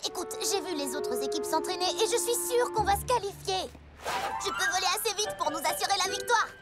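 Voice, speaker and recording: A woman's voice: very high voice, lively intonation, brisk. A woman voicing a brash, competitive young female pegasus pony in a French cartoon dub, with a dramatic delivery. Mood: confident, defensive. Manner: dramatic, hesitant when cornered. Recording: music bed under the dialogue.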